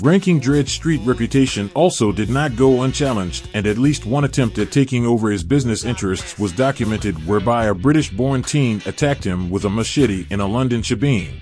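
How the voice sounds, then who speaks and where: A man giving a steady, measured scripted documentary narration. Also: mid-range voice, soft background music.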